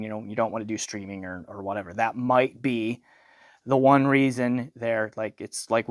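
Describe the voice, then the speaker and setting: A man's voice: medium pitch, clear, resonant tone, no room sound, studio quality. A middle-aged man giving an unscripted product review in a conversational way, thinking aloud and posing questions to himself. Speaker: audio gear reviewer.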